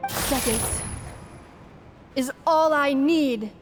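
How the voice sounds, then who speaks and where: A woman voicing a composed, resolute female robot in a video game, speaking in a calm, measured way. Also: sits high, over music. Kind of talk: video game character acting.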